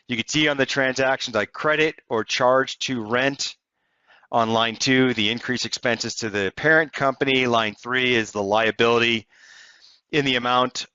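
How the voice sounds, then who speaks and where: A man narrating a software tutorial step by step in an explanatory, conversational way. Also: medium pitch, clean tone, no room sound, highs cut off. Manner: explanatory, conversational walk-through. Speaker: software demonstrator.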